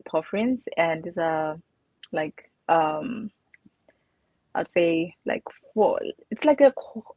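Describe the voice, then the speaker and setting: A woman's voice: on the low side, no echo. A woman in her twenties with a Zimbabwean accent, chatting casually as a student guest on a video call. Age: 20s. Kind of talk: casual interview on a livestream. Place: indoor room, video call with earbuds. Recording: muffled video call, dry.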